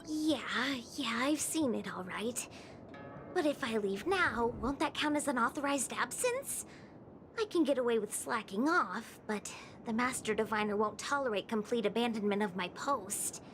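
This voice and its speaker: A woman's voice: fairly high pitch, lively intonation, moderate pace, noisy background, close to an everyday voice. A woman playing a laid-back young female diviner in a video game, casual, wry and rambling. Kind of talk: video game cutscene acting.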